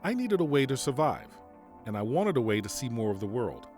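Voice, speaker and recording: A formal, unhurried mercenary general, voiced by a man for an audio drama. His speech is measured and calm, yet his pitch moves animatedly, and soft music plays underneath. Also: natural voice. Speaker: military general.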